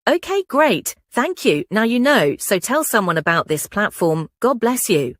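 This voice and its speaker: A woman's voice: mid-range voice, sing-song, a little slow. A woman conducting a short scripted interview in a polite way.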